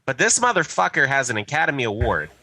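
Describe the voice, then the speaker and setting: A man co-hosting a podcast in casual, sweary talk, building up exaggerated points in halting, repetitive phrases. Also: medium pitch, big pitch swings, bright, forceful tone. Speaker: podcast co-host. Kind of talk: casual, profane group chat.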